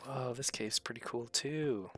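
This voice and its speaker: A woman's voice: very deep voice, measured pace, soft background music. A woman hosting a kids' gaming video, giving casual, encouraging and exclamatory commentary as they play. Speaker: gaming video host playing alongside a child. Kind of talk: casual gameplay commentary for kids.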